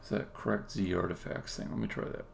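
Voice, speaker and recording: A low male voice with heavy vocal fry talks casually through his work on a game development stream. He speaks haltingly, half to himself, as he thinks aloud. Faint noise sits behind him, with keyboard typing and clicks. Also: expressive. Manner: halting, muttering to himself while working. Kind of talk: casual think-aloud live-coding commentary. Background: computer keyboard typing and mouse clicks.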